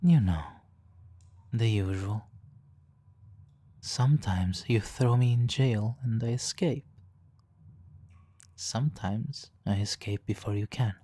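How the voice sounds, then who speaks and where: A man playing a suave, teasing, hypnotically controlling supervillain in a soft, intimate ASMR roleplay, condescending and purring, breathy in places. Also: lowish voice. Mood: smug, teasing. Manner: condescending, purring, drawn-out pauses, soft chuckles.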